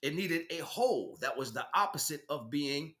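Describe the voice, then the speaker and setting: A man's voice: on the high side, lively intonation, moderate pace, a bit bright. A man giving an improvised spiritual lecture in an emphatic, preaching way, repeating phrases and posing rhetorical questions. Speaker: spiritual teacher.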